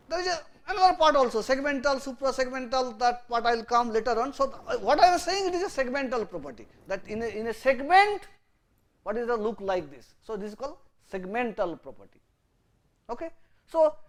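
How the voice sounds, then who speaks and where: A middle-aged man with a Bengali accent, speaking English as he lectures a class at a chalkboard. He often restarts phrases, stressing key words with sudden jumps in pitch before dropping low. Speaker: university lecturer. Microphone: lapel mic.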